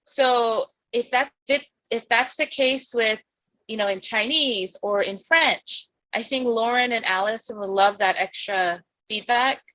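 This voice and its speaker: A woman's voice: a little high, measured pace, sharp tone, no echo, poor audio. Eager rambling woman on a dry call. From United States. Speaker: parent board member. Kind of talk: casual online meeting talk. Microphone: video call.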